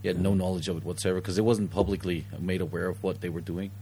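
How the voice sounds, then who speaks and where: Deep-voiced guy from denmark, talks super fast, jumps between languages, slight hum behind. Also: fairly even intonation. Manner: rapid, switches between Japanese and English mid-story. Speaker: guest. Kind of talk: bilingual casual interview.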